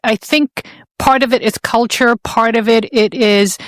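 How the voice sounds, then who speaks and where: A woman's voice: fairly high pitch, steady tone, unhurried, dry recording. Earnest woman host, dry close sound. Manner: earnest, rambling, heartfelt. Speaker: podcast host. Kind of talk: casual interview chat.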